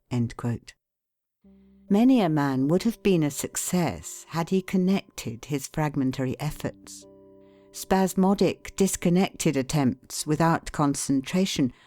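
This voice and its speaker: A woman reading an audiobook in a measured, formal, deliberate manner. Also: low-pitched, darkish tone. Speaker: audiobook narrator. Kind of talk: scripted audiobook narration.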